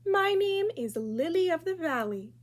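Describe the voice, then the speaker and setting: A woman's voice: extremely high pitch, big pitch swings, unhurried, pitched above the performer's own voice, exaggerated voice. A woman voicing a mocking, self-important little schoolgirl in a children's storybook, with a sing-song, taunting delivery. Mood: longing, self-important. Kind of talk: children's storybook read-aloud.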